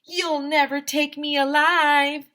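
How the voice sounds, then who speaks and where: A woman voicing a cheeky, dramatic schoolboy hero in a storybook with loud, mouthy exclamations. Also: high voice, flat delivery, slow pace, voice pushed up, exaggerated voice. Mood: defiant. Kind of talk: storybook character voice.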